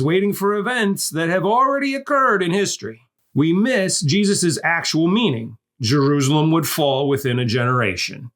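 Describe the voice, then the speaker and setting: A man with a somewhat high voice delivers scripted explanatory narration. His intonation moves widely, with emphatic stress on key points. He teaches in a didactic way, setting up questions and answering them. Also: a bit bright. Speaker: narrator of a Bible prophecy course.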